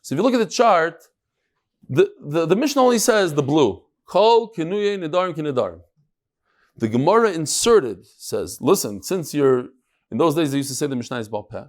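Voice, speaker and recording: A man teaching an informal religious class in a fairly high voice. His pitch swings widely as he talks, with emphatic, conversational delivery and frequent rhetorical questions. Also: very animated, normal speed. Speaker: rabbi giving a daily Talmud class. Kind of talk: informal Talmud lecture to a live class.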